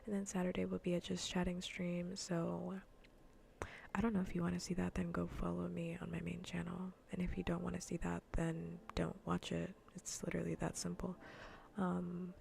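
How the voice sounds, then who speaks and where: Whispering woman streamer, low creaky voice. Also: completely flat delivery, moderate pace, a little hiss or hum. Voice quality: soft, breathy, mostly whispered. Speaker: livestreamer hosting an ASMR gaming stream. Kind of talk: soft ASMR casual chat to viewers. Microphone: close ASMR microphone.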